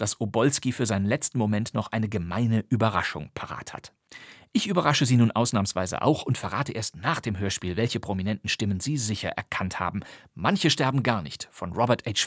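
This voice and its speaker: A man's voice: on the low side, expressive, moderate pace, dry recording, clean studio sound. A man hosting a podcast, reading a scripted, theatrical introduction in the manner of a radio presenter. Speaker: podcast host. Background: music.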